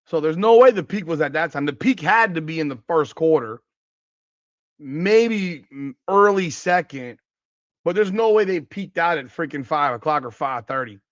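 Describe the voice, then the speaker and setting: A man in his forties with a slightly high voice hosts a sports talk stream, ranting with plenty of cursing and leaping upward when something fires him up. The sound is dry and a little dull and lo-fi. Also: expressive, normal speed, dry recording. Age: 40s. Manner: ranting and profane, voice jumping up in pitch when worked up. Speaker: podcast host. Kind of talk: casual sports talk livestream, reading chat comments.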